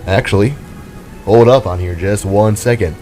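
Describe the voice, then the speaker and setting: Boastful, folksy adventurer for an audio drama, man putting it on; lowish voice. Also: moderate pace, dark tone, soft background music, lightly acted. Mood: cocky.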